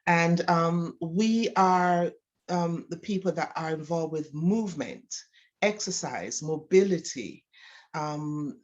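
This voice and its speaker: Lower-voiced Jamaican woman, slightly dull sound. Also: a little mellow. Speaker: physiotherapist guest. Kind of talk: interview answers, personal and explanatory.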